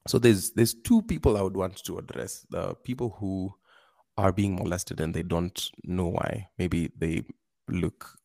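A man speaking as a podcast guest, giving earnest advice in a halting way, with frequent restarts. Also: sits low, gravelly, darkish tone, dry recording, studio quality. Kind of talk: casual advice talk.

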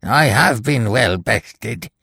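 Wicked dwarf sorcerer for a gothic story, creaky voice, swooping all over, grand and mocking. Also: male voice, medium pitch, expressive, bright, forceful tone, no room sound, exaggerated voice. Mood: exclaiming, rueful. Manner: theatrical, drawn-out, archaic grandiose speech. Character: misshapen malevolent dwarf magician, imperious and mockingly genial. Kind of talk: dramatic audiobook character reading.

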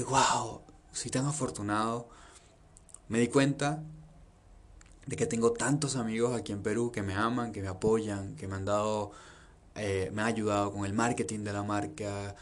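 A young man from Venezuela talking earnestly and reflectively about his life, often repeating phrases and listing things in long runs. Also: fairly low pitch. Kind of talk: reflective personal monologue.

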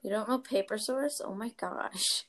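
A woman's voice: medium pitch, normal speed, slight background noise. A young woman hosting a casual chat podcast, talking chattily with lots of fillers and giggles. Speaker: podcast host.

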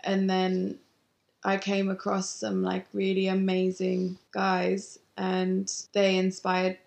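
A woman photographer, talking about her work in a halting, reflective way with frequent restarts. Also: medium pitch, monotone, creaky, a little mellow, reverberant. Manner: halting, thinks aloud with many restarts. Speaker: photographer, interview guest. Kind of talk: reflective casual conversation. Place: home.

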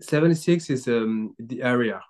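A man, a guest in an interview, answering haltingly with many restarts. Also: mid-range voice, darkish tone. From France. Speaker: baseball club guest.

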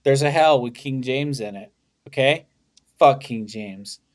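A man's voice: medium pitch, a little slow. A young man hosting a casual podcast, rambling and joking with lots of swearing and laughter. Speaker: podcast host. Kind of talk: casual comedic podcast banter.

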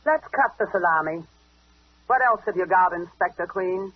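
An actress playing a blunt, slangy, hard-boiled female lawyer in a 1940s radio crime drama, with a husky voice. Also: lowish voice, lively intonation, moderate pace. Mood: challenging. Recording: dull old radio broadcast recording.

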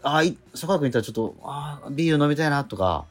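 A middle-aged man hosting a radio show, chatting in a relaxed, rambling, self-deprecating way. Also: fairly high pitch, fast talker, husky, faint music behind. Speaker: radio host. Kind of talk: casual radio chat.

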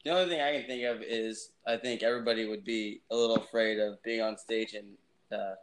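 Halting man, brief answers. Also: lowish voice, fairly even intonation, rough recording. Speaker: band member. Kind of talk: remote interview chat.

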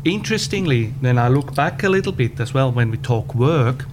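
A man of about fifty with a Swiss German accent, answering interview questions in a measured way with frequent pauses. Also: mid-range voice, lively intonation. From Switzerland. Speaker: podcast guest, business owner. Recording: background hum.

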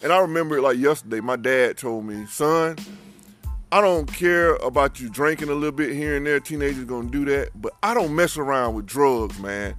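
A man in his forties co-hosting a casual podcast, who gets loud and fired up as he talks. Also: medium pitch, moderate pace, faint music behind. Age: 40s. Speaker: podcast co-host.

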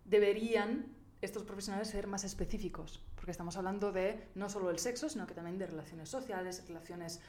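A woman's voice: medium pitch, brisk, darkish tone, a little hiss or hum. Woman, hesitant and explanatory. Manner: thinks aloud, hesitant, with many fillers and restarts. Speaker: podcast co-host. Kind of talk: casual chat explaining research.

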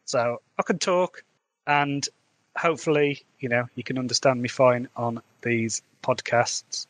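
A man's voice: medium pitch, fairly even intonation, unhurried, a bit bright, low-quality recording. A man with an English Midlands accent, an author hosting his own podcast, talking casually and candidly with a rambling, chatty manner. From England. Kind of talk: casual solo podcast chat.

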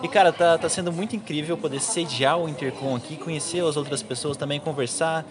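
A young Brazilian man gives informal interview answers. His voice is a little high for a man. Loud crowd noise surrounds him throughout. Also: brisk. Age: young adult. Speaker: university student interviewee. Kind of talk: casual chat. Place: busy university congress.

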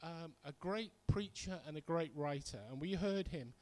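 High-pitched British man preaching. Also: moderate pace, a little mellow. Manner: conversational storytelling with emphatic repetition. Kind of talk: sermon with personal anecdotes.

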